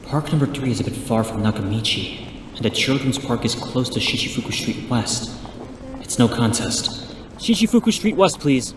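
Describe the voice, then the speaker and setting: A man playing an eager, polite young martial arts student in an audio drama, earnest and expressive, in an echoey recording. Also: medium pitch, moderate pace, close to an everyday voice. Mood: searching, thinking aloud. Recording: echoey, reverberant, faint background noise.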